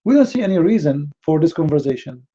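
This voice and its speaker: Arabic-accented man, indignant rebuttal, raised pitch, muffled lo-fi sound. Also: big pitch swings, fast, dark tone, slightly rough. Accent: Middle Eastern Arabic. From Middle East. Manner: indignant, repeats words and restarts often. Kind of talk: heated rebuttal to a clip.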